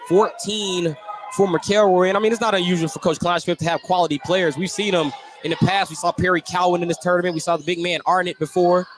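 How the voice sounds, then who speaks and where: Higher-voiced man calling live basketball. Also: steady tone, quick pace. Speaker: play-by-play sports commentator. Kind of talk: live radio basketball play-by-play commentary. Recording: live gym broadcast with background noise. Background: gym ambience, bouncing basketball.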